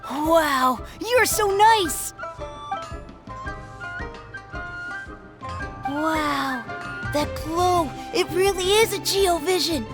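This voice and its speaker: A woman's voice: very high-pitched, takes it slowly, over music. A woman voicing a boastful, suspicious little boy who talks in a pompous, blustering way in a video game. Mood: awed, envious.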